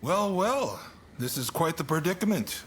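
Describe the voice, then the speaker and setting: An actor playing an affable, unflappable and diplomatic gentleman official in a video game, breezy and conversational. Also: fairly high pitch, very animated, normal speed, a little hiss or hum. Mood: calm, musing. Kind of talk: video game cutscene acting.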